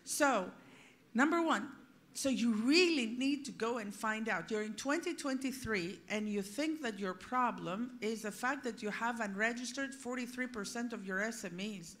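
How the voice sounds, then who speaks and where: A woman's voice: fairly high pitch, fairly bright tone. A woman explaining as a panelist, emphatic and direct, posing rhetorical questions to her listeners. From Greece. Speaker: panelist, policy expert. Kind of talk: panel discussion, unscripted explanation.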